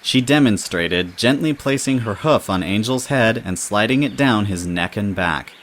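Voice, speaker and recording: A man's voice: on the low side, lively intonation, moderate pace, fairly bright tone, a little hiss or hum. A man reading scripted storybook narration as the narrator of an audio tale.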